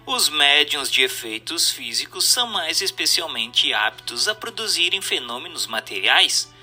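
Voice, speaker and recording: A man's voice: a little high, bright, forceful tone. A Brazilian man reading a book aloud as scripted narration, in a formal, didactic and emphatic manner.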